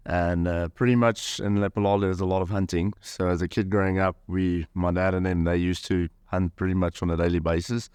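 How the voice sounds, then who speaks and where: A man in his thirties with a South African accent, a hunter telling his story as a podcast guest in a relaxed, rambling way. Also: sits low, a little fast, few pauses, clear, resonant tone, no room sound. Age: 30s. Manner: rambling, many fillers and "pretty much".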